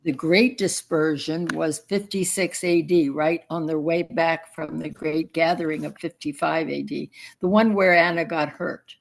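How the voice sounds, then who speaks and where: A woman's voice: sits low, fairly even intonation, unhurried, vocal fry, few pauses. A woman in a study group on a video call, explaining dates in a matter-of-fact way. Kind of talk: casual group discussion.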